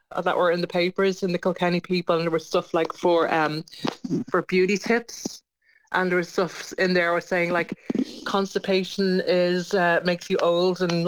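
Clear-voiced, chatty woman. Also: on the low side, rough recording. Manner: informal, chatty, self-correcting. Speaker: interview guest, playwright and producer. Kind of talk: conversational radio interview.

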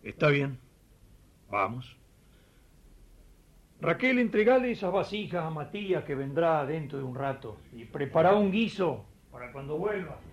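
Steadfast, lively-voiced Argentine potter. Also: male voice, on the high side, measured pace, long pauses, highs cut off, full character voice. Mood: guarded, calm. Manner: earnest, firm. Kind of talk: radio theatre acting.

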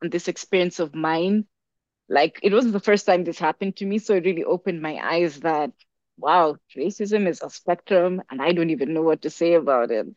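A woman's voice: fairly low pitch, normal speed, no echo, dull audio. Chatty storytelling woman from Africa. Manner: animated storyteller with many fillers. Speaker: podcast guest. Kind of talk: casual conversation.

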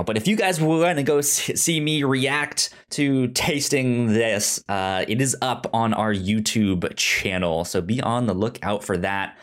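A man co-hosting a podcast, chatting casually and often stammering over repeated words. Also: fairly low pitch. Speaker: podcast co-host. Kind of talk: casual film podcast chat.

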